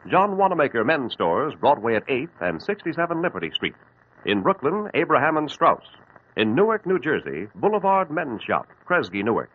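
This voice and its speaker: A man's voice: fairly high pitch, sing-song, moderate pace, husky. Male announcer reading a list. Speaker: radio announcer. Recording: tinny old radio broadcast.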